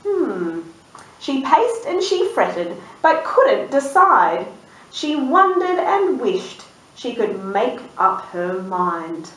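Woman reading a kids book out loud, really up and down singsong voice, slow, bit far from the mic. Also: high voice. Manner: expressive, sing-song, drawn-out words, asks the listener questions. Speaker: children's storyteller and channel host. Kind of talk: animated picture-book read-aloud for young children. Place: indoors in a room, seated before a dark backdrop. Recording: roomy, distant microphone.

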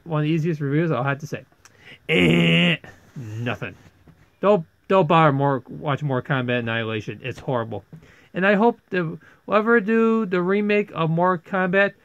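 A man's voice: fairly high pitch, no echo. A man giving a casual, rambling movie review as a video host, exclaiming in exasperation and sometimes stammering over his words. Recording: dull, lo-fi close microphone.

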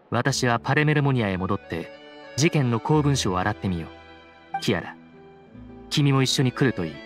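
A man playing a solemn, dignified chief judge in a Japanese game dub, speaking in formal, measured, stiffly courteous phrases. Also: medium pitch, fairly bright tone, natural voice. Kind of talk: Japanese anime game voice acting. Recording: music behind the voice. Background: game background music.

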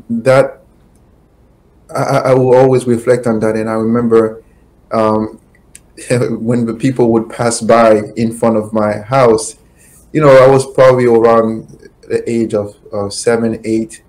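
Middle-aged man, low voice, very slow halting delivery with lots of uh's, webcam call from a home office. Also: flat delivery, darkish tone, low-quality recording. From Haiti. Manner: slow, halting, many fillers. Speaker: guest, attorney. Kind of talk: reflective interview answers. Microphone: webcam video call.

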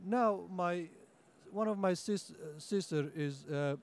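A young man whose first language is Kurdish, speaking at a book-fair panel into a table microphone, halting with many fillers and restarts. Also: high voice. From Turkey (Kurdish). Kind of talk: panel discussion talk. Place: conference room at a book fair.

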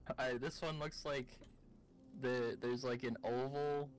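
A man's voice: medium pitch, steady tone, unhurried, poor audio. A man talking casually as a co-op game partner, describing things as he plays. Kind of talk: casual chat, describing puzzle symbols.

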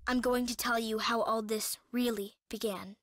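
A woman's voice: a little high, steady tone, normal speed, lightly acted. A woman voicing a solemn young girl who narrates a video game cutscene. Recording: very noisy game cutscene audio.

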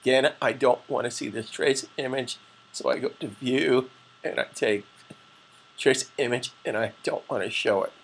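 Man, middle-aged, husky, gravelly, raspy strained voice, screen-recorded tutorial, patient explaining. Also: medium pitch, moderate pace. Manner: plain, patient step-by-step explaining with frequent "okay?". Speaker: web design instructor.

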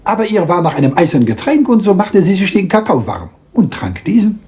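An actor playing a small, self-assured Belgian detective with a French accent, theatrical and politely lecturing in a radio crime drama. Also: male voice, high voice, big pitch swings, darkish tone. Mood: patiently explaining. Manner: theatrical, deliberate, polite, lecturing, teasing rhetorical questions.

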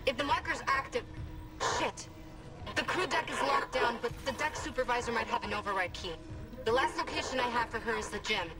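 Woman to play businesslike, resolute officer on comms for a game, loud music behind. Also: fairly high pitch, brisk, close to an everyday voice. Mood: urgent, focused. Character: determined female tech officer on comms. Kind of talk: naturalistic video game acting. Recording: distant voice under loud music.

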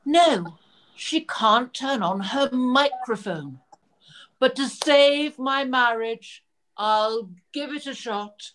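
Older woman playing a slow, bumbling pantomime prince. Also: sits high, a bit bright, rough recording. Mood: bumbling, earnest. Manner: theatrical, alliterative, deliberate delivery. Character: bumbling, dramatic pantomime Prince Charming, male. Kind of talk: comic pantomime acting over video call. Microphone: video call.

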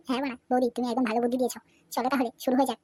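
A woman's voice: high voice, rapid-fire, mellow, slightly rough, dry recording, clean studio sound. A woman voicing a crude, cheeky and cowardly young village man in a comedy cartoon, full of slang. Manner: crude slang, pleading when caught. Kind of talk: Bengali comedy cartoon dubbing. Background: background music.

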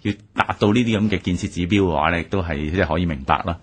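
A man's voice: low voice, racing, slight background noise. A man with a Hong Kong Cantonese accent giving orderly, point-by-point analysis in a radio discussion.

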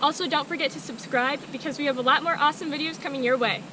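Teen girl, high voice, easy vlog host with ums, outdoors. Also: fast talker. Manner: relaxed, with frequent ums. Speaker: family vlogger. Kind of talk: casual vlog presenting. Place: outdoors in a forest. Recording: clear outdoor noise.

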